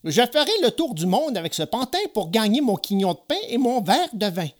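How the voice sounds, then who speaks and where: A storyteller voicing a touchy, excitable little old man in a children's storybook tale, quick to indignation. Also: mid-range voice, pitched above the performer's own voice, natural voice. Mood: sprightly, eager. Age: older. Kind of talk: storybook character voice.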